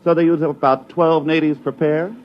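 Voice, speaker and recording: An actor playing a breezy, boastful artist in a 1950s radio drama, talking with brisk swagger. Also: male voice, a little high, little pitch movement, close to an everyday voice. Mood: smug, breezy. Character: breezy, boastful, newly prosperous male sculptor. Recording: dull, noisy 1950s radio recording.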